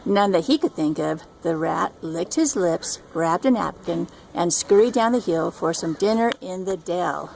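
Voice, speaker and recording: An older woman with a creaky voice reading a children's storybook aloud. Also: lowish voice, animated, unhurried, few pauses, darkish tone, slight background noise. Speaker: storybook reader, grandmother. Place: outdoors at a scenic lake.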